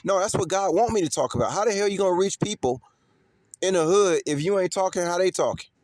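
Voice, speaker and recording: A man's voice: high voice, animated, fast talker, darkish tone, dry recording. A man from talks off the cuff to his viewers, emphatic and repetitive, with street slang and swearing. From Chicago, United States. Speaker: vlogger. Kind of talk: casual unscripted monologue to viewers.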